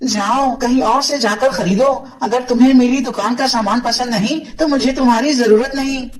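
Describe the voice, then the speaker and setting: A man putting on a shrill, rude shopkeeper. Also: fairly even intonation, normal speed, roomy sound, distant mic, a crowd in the background, rough recording. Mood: dismissive. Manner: loud, dismissive shouting.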